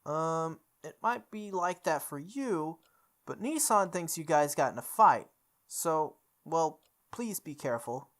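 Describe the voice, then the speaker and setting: A woman's voice: low-pitched, animated, unhurried. A woman voicing a timid but obstinate teenage girl in a visual novel, hesitant and earnest. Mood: hesitant, careful.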